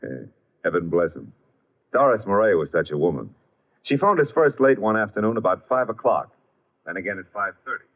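Glib detective's sidekick on tinny old radio. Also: male voice, lowish voice, big pitch swings, brisk. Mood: wry narration. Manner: glib, wry, easygoing. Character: breezy, woman-susceptible male detective's assistant. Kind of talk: 1950s radio detective drama acting. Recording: band-limited old 1950s radio recording.

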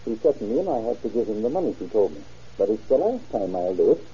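A man's voice: fairly low pitch, big pitch swings, fast talker, mellow, slightly rough, full character voice. A man playing an earnest, fussy small-town attorney in an old-time radio drama. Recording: dull muffled old radio recording.

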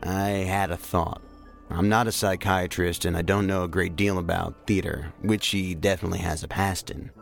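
An actor playing a measured, plainspoken male investigator, a seasoned crime specialist in a science fiction audio drama. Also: deep voice, steady tone, gravelly, faint music behind. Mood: thoughtful.

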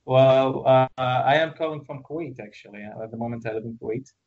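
Halting man from Iraq, lo-fi call. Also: medium pitch. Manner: halting, with frequent restarts and laughs. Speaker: guest. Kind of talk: casual remote interview.

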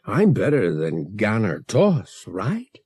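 A man playing a young male rogue in an audiobook, gentle and reassuring but urgent as he coaxes his sweetheart. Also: fairly low pitch, wildly swooping pitch, takes it slowly, slightly rough, no room sound, studio quality, exaggerated voice. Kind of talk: audiobook character dialogue.

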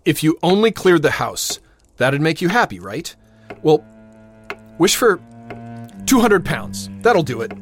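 An actor playing a cheeky, teasing, excitable son in a horror audio drama, with a playful, flippant manner. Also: male voice, fairly high pitch, a little slow, pauses often, faint music behind. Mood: playful, excited.

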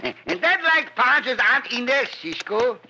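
An actor playing a simple, jolly, excitable Mexican cowboy sidekick in an old-time radio western, speaking broken English with an exaggerated Mexican accent. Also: male voice, very high voice, a little slow, vocal fry, full character voice. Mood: playful storytelling. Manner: broken English, comic storytelling. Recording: noisy vintage radio recording.

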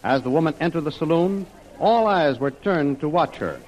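A man narrating an old radio adventure serial in a measured, dramatic storytelling manner. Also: on the high side, lively intonation, a little mellow, lightly acted, rough recording.

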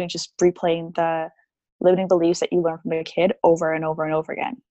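A woman's voice: on the low side, a little mellow, no echo. Chatty young woman explaining casually. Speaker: podcast guest, theta healing practitioner. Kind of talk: casual podcast interview.

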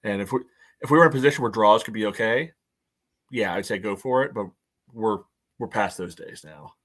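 Male fan panelist, lowish pitch, opinionated casual sports talk. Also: brisk, fairly bright tone.

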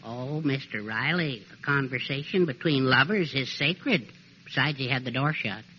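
An actor playing a meek, fussy, henpecked neighbour in a 1940s radio comedy, speaking politely and hesitantly with prim literal-mindedness. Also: male voice, medium pitch, moderate pace, lightly acted. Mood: meek, apologetic. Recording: dull old radio recording with noise.

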